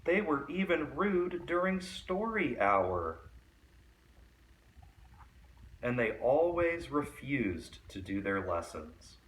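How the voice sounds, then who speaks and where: A man reading a children's picture book aloud as a storyteller, in an expressive storytime style. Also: mid-range voice, takes it slowly, recorded from a distance, poor audio.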